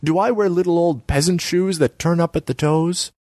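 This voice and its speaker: Man voicing haughty young female artist, high, preachy, dry close sound. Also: moderate pace, darkish tone, no room sound, lightly acted. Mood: haughty lecturing. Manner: scornful, lecturing, self-important.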